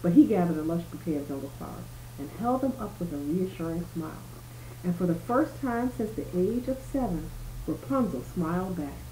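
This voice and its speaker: A woman's voice: on the low side, expressive, a little fast, mellow. A woman reading a bedtime story aloud expressively, with small explanatory asides as if to a child. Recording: roomy home recording with faint noise.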